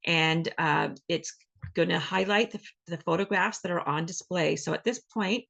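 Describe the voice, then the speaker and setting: Woman host w/ a really gravelly voice, friendly, kinda halting, on a zoom call. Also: on the low side, a bit bright. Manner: friendly, a little halting, with many fillers. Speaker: host, library program manager. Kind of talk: event introduction. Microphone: video call.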